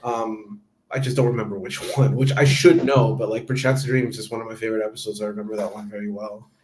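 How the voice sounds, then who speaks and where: A man hosting a casual movie review chat, talking quickly and rambling with fillers and restarts. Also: fairly low pitch, little pitch movement, a little mellow, echoey room, slight background noise, rough recording.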